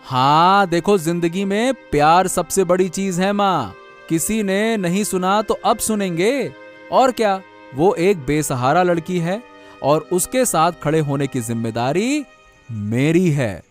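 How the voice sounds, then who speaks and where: Man voicing an earnest, high-pitched young cartoon husband. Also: lively intonation, slow pace, faint music behind. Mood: defiant, exasperated. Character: earnest, devoted young husband, pleading and protective. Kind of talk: Hindi cartoon dialogue acting.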